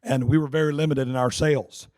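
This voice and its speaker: A middle-aged man from North Carolina, giving a joking, chatty seminar talk with a raspy voice hoarse from laryngitis. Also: mid-range voice, fairly even intonation, fast talker, a little mellow. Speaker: seminar speaker. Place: conference hall. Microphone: handheld mic.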